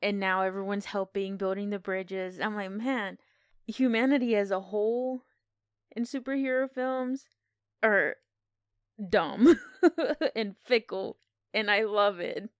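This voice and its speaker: A woman's voice: medium pitch, slow pace, pauses often, clear, resonant tone, no echo, clean studio sound. A woman co-hosting a podcast, chatting casually about films and retelling things with enthusiastic expression. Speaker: podcast co-host. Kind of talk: casual film discussion.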